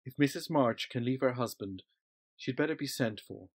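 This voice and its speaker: A man playing a calm, matter-of-fact family doctor in an audio drama. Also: medium pitch, normal speed, dry recording, clean studio sound, lightly acted.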